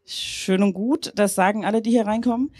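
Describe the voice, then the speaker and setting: A woman's voice: medium pitch, steady tone, moderate pace, darkish tone, slightly rough, natural voice. Brusque, impatient female boss played by a woman. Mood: dismissive. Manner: brusque, dismissive. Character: curt, impatient, condescending female radio department boss. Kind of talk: live stage radio comedy sketch. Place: outdoor festival stage under a tent.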